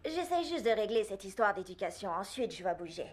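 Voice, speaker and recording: A woman's voice: mid-range voice, big pitch swings, fairly quick, lightly acted. A young woman dubbing a cocky, sarcastic student in a French film dub. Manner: cheeky, sarcastic.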